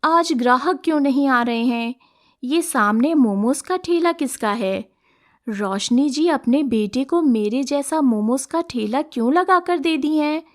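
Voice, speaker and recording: Worried street-vendor woman, high voice acted by woman. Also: lively intonation, unhurried. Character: worried, honest woman running a momo cart. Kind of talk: Hindi animated moral story dialogue.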